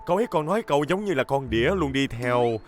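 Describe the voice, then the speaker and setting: A scheming adult reporter from a cartoon, acted by a man. The voice sits at a medium pitch and talks smoothly, always insinuating, with clear music behind it. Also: lively intonation, quick pace, close to an everyday voice. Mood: sly, goading. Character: sly, scheming adult male media reporter. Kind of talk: Vietnamese cartoon dub.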